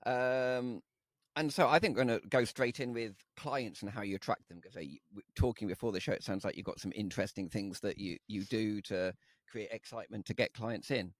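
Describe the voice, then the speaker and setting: A man's voice: on the low side, a little fast, dry recording. A man co-hosting a podcast, upbeat and encouraging toward the guest. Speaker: podcast co-host. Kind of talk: casual podcast chat.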